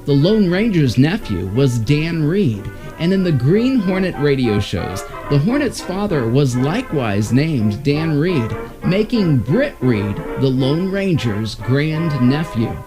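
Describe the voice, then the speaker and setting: A man's voice: a little high, sing-song, slow pace, few pauses. A man presenting old-time radio shows in a scripted announcer's narration. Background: music.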